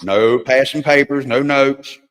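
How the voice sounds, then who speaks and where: A man's voice: medium pitch, steady tone, a little slow, fairly bright tone. A man hosting a livestream in casual chat, a crude, joking, rambling storyteller. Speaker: livestream host. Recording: long hall reverb.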